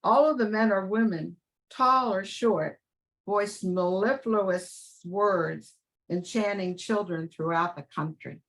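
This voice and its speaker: A woman's voice: medium pitch, slow, rough recording. An older woman with an American accent reading aloud her prepared personal memories of radio. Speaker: class member sharing radio memories.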